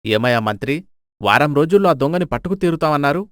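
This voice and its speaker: A man's voice: a little high, lively intonation, fast, a bit bright, dry recording, studio quality. A man voicing a stern, exasperated king with a commanding, scornful manner in a dramatised folk tale. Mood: furious, commanding. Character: stern, exasperated king scolding his ministers.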